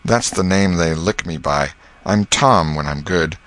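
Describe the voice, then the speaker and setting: A man's voice: sits low, unhurried, fairly bright tone. A man voicing a cheeky, folksy American schoolboy in an audiobook, with plain-spoken country manners. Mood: coaxing, coy.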